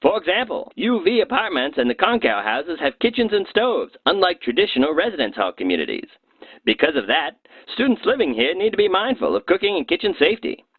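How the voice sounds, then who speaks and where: A man's voice: a little high, sing-song, brisk, slightly rough, dry recording. A man presenting scripted safety narration in a tongue-in-cheek, mock-serious way. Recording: muffled, phone-like narrow band.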